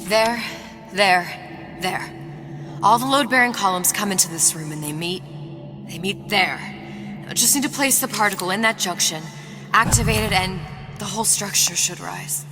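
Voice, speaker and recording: A woman's voice: mid-range voice, normal speed, over music, natural voice. An actress playing a determined, world-weary young woman in a video game, earnest and defiant. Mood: resolute.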